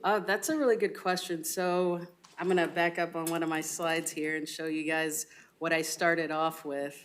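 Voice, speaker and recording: A young woman resident physician giving a medical lecture and answering audience questions with measured, thoughtful replies full of ums and uhs. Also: low-pitched, little pitch movement. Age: young adult.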